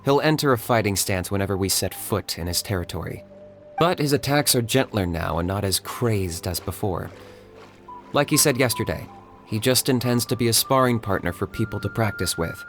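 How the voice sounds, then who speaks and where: An actor voicing a calm, reserved young warrior in a video game, speaking in a measured, dry way. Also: male voice, lowish voice. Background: game music.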